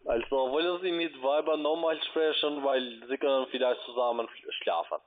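A man with a foreign accent, speaking halting, broken phrases in a phone conversation as a call centre agent. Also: mid-range voice. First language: not German. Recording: tinny, narrow phone line.

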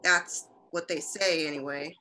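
A woman playing a hostile, self-righteous frontier mother in a radio western, sharp, indignant and folksy. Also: low voice, fairly even intonation, measured pace, slight background noise. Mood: boastful. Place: indoors at home, video call.

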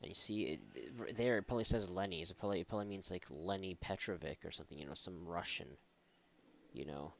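A man's voice: low-pitched, fast talker, creaky, a little hiss or hum. A man giving casual, sarcastic, often profane commentary while playing a video game. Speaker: gaming video host. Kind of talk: casual let's play commentary.

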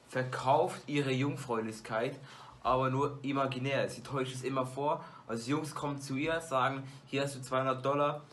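A man's voice: medium pitch, sharp tone. A teenage boy vlogging from his bedroom, rambling casually to a webcam with lots of fillers and restarts. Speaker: YouTuber. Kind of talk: casual movie-ranking vlog. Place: small bedroom lit with colored LED lights.

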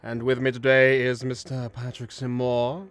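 An excitable man hosting a party word game in casual banter with friends. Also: medium pitch, normal speed, faint music behind. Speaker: host.

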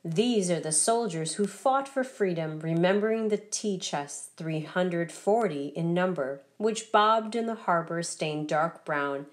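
A woman reading a children's story aloud as scripted narration, in a deliberate, rhythmic recitation. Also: on the low side, animated. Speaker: storybook reader.

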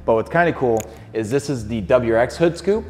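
A man's voice: mid-range voice, expressive, brisk, a little mellow. A young man talking casually about cars as a video host. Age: young adult. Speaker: car detailer and video host. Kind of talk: casual car talk on camera. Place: large indoor detailing garage. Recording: echoey garage, faint music behind.

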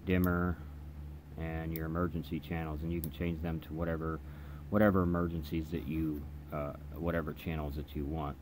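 A man giving a casual, unscripted hands-on product demonstration in a halting, hesitant way, as a hobbyist reviewer. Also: extremely low pitch, flat delivery. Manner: hesitant, halting delivery with trailing phrases. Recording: clear background noise in room.

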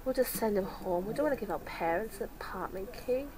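A woman's voice: medium pitch, expressive, fast, crowd noise. Woman with a cold, chatting. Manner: chatty, talks to her game characters. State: sick with a cold. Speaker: let's play host. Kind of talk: casual gameplay commentary.

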